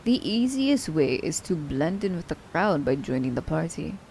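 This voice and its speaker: Woman playing a low, gravelly, cunning assassin. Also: sing-song, moderate pace, darkish tone, slight background noise, full character voice. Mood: sly, musing. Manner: theatrical, formal storybook diction. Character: immortal female assassin, cunning, teasing, haughty, romancing her captive. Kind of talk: ASMR roleplay audio drama.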